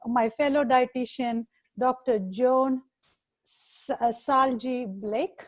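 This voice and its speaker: A woman's voice: high-pitched, fairly even intonation, slow pace, rough recording. A woman with an Indian accent hosting an interview chat, speaking hesitantly with many fillers. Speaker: host, dietitian.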